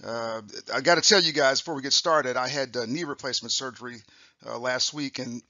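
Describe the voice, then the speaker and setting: A raspy older man lectures over slides in a loose, friendly way, stumbling into restarts and clearing his throat. His tone is very bright and pushed forward. The sound is dry and a little dull, close to the mic. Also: mid-range voice, normal speed, no room sound. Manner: chatty, upbeat, frequent fillers and restarts. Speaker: optometrist lecturer. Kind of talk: recorded continuing-education lecture.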